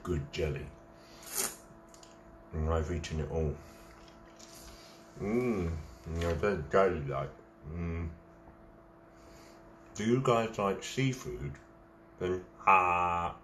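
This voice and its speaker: A man's voice: low voice, reverberant, slight background noise. A man, hosting a casual late-night live stream, reading out viewers' comments and chatting while he eats snacks. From England.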